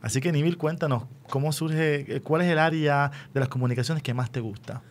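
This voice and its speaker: A man's voice: a little high, brisk. A man conducting a conversational radio interview in an encouraging, affirming way.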